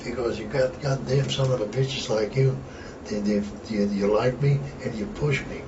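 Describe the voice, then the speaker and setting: A man's voice: mid-range voice, steady tone, normal speed. An older man from Antwerp, a retired wrestler reminiscing in a blunt, rambling, profane way. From Antwerp, Belgium. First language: Flemish. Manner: blunt, rambling, swears freely. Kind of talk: casual reminiscing chat. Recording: distant, roomy, dull lo-fi with background hiss.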